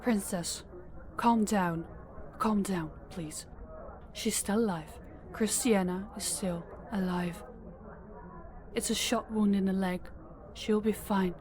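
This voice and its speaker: A young woman playing a composed, dutiful and quietly protective princess in an audio roleplay drama. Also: mid-range voice, slow, noisy background, natural voice. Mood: soothing, urgent.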